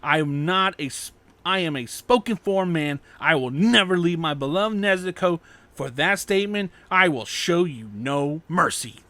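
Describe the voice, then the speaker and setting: A man's voice: on the high side, animated, moderate pace, fairly bright tone, no room sound. A man voicing an earnest, lovestruck and determined young swordsman, with dramatic declarations, in a fan fiction audiobook. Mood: resolute.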